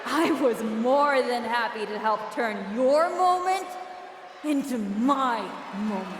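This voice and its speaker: A woman's voice: fairly high pitch, expressive, slow pace. A woman playing a bitter, jealous wrestling promoter in a video game, delivering a sarcastic, menacing speech over an arena PA. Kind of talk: theatrical in-ring promo speech. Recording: echoey arena PA with crowd noise.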